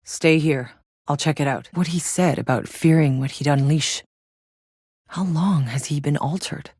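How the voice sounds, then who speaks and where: A tough, wry female scout with a low voice, recorded very dry and close. She delivers terse, matter-of-fact video game lines like a soldier sizing up hostile ground. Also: a little slow, a little mellow, slightly rough, dry recording. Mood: uneasy, troubled.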